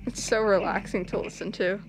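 A young woman giving casual, excitable live reaction commentary on game cutscenes, full of exclamations. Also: medium pitch, brisk, rough recording. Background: game cutscene music.

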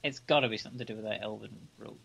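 A man's voice: lowish voice, steady tone, racing, creaky, fairly bright tone, a little hiss or hum. A man chatting casually beside a game stream, making dry, joking remarks. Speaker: co-commentator. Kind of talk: casual chat.

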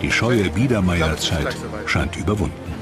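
Male documentary narrator, deep, measured, dramatic scripted read, over a music bed. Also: expressive.

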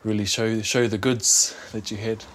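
A man's voice: on the low side, level tone, moderate pace, a bit bright. A young man with a New Zealand accent speaking casually and earnestly, with some hesitancy, as an aspiring rugby player in an interview. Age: young adult. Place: small bedroom. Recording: faint background noise.

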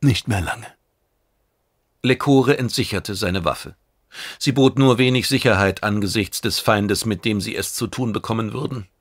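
A man reading a science fiction novel aloud, with measured, dramatic narration. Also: fairly low pitch, no room sound, studio quality. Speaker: audiobook narrator.

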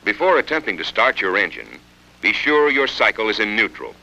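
An American man reading scripted instructional narration for a training film in a measured, instructive manner. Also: lowish voice, very animated, gravelly, pushed and bright, rough recording. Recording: 1960s film soundtrack, faint noise.

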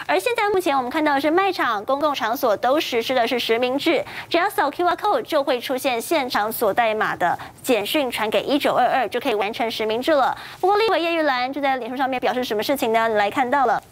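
High-voiced Taiwanese woman anchoring the news. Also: lively intonation, quick pace, hardly any pauses. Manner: speaking through a face mask. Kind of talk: scripted news presenting, conversational asides. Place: TV news studio.

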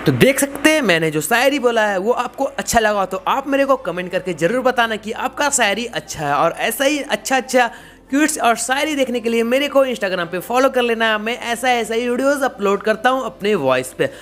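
A man's voice: very high-pitched, lively intonation, moderate pace, fairly bright tone. A young man giving a casual, repetitive step-by-step tutorial. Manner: repetitive, explanatory. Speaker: tutorial host.

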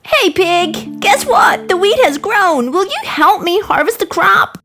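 Super high little cartoon hen, bossy n eager, really animated. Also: female voice, measured pace, faint music behind, heavily acted. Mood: eager, calling. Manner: exclamatory, insistent. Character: small, eager, hard-working female red hen. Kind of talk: children's cartoon story acting.

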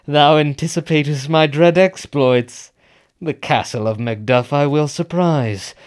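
A desperate, ruthless king, played by an actor, declaiming intensely in classical radio-play style. His delivery is slow, with a very animated pitch that rises and plunges. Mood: ruthless resolve. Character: tormented, commanding warrior king, desperate and ruthless. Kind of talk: Shakespearean radio drama acting.

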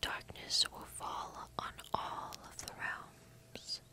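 A young woman doing whispered ASMR fantasy roleplay as a kind forest witch, soft, breathy and deliberate, close to the microphone. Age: young adult.

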